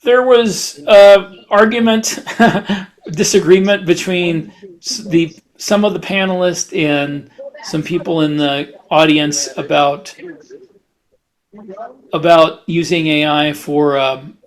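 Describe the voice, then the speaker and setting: A man co-hosting a podcast in casual chat, talking haltingly and often restarting his phrases. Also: on the high side, steady tone, rough recording. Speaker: podcast co-host and fiction writer.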